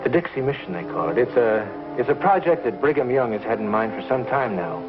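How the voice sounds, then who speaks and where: An actor playing a devout, affectionate and persuasive pioneer husband in a 1950s television western. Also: male voice, medium pitch, lively intonation, a little fast, natural voice. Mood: earnest. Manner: earnest, persuasive. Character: devout, earnest frontier husband and father, affectionate. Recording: muffled 1950s TV soundtrack, music behind.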